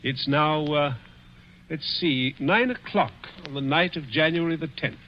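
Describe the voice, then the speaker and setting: A man's voice: a little high, a little slow, a little hiss or hum, natural voice. An actor playing a cruel, dying rich man who taunts with sly chuckles in a 1940s radio drama. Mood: menacing. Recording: dull old radio broadcast.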